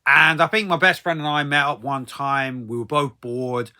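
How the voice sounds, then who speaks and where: A man's voice: medium pitch, fairly even intonation, normal speed, pushed and bright. A man in his thirties telling a casual, rambling personal story as a vlogger, hesitant and repetitive, often tailing off into tag phrases.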